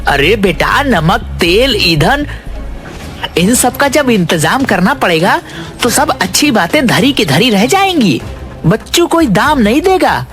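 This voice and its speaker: A man's voice: high voice, big pitch swings, measured pace, a bit bright, faint music behind, heavily acted. A man voicing a greedy, scornful father who sells sweets in a village, in a Hindi cartoon. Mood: angry, scornful. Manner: grumbling, scornful. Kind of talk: Hindi moral-story cartoon acting.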